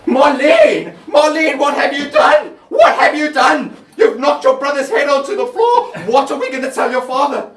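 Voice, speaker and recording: A man voicing a frantic, scheming mother, shrill and commanding. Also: sing-song, moderate pace, a bit bright, full character voice. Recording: echoey room, distant mic, background noise.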